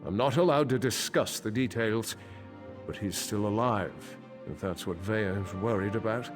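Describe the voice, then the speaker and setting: A man's voice: lowish voice, normal speed, music in the background, natural voice. An actor voicing a formal, dignified councilor and worried father in a fantasy video game. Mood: formal, resolute. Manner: formal, grave, stiff. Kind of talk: video game character dialogue.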